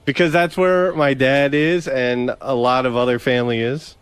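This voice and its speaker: A man in casual radio chat, giving reluctant, evasive answers in a halting mumble. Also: mid-range voice, level tone, clear, resonant tone, no room sound. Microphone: studio radio mic.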